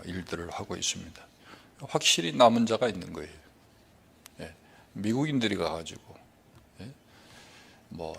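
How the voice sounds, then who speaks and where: A man pastor preaching a sermon, talking in quick runs with frequent fillers between phrases. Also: on the low side, creaky, husky.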